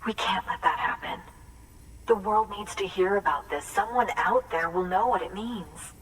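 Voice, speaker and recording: A woman's voice: medium pitch, moderate pace, far from the mic, a little hiss or hum, close to an everyday voice, poor audio. An actress playing an earnest, anxious photographer who carries on her late father's work, in naturalistic video game acting. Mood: earnest, resolute. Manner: earnest, hesitant, heartfelt storytelling.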